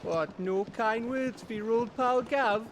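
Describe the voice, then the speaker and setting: A man with a British accent playing a cheeky, rough-mouthed scout and loyal pal in a fantasy game, chummy and irreverent. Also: very high voice, takes it slowly, background noise. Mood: teasing. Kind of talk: dramatic fantasy game acting.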